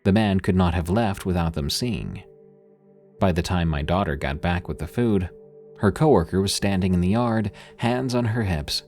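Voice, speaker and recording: Low-voiced man reading horror stories. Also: normal speed, soft background music. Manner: expressive storytelling. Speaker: narrator. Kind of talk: scripted horror story narration.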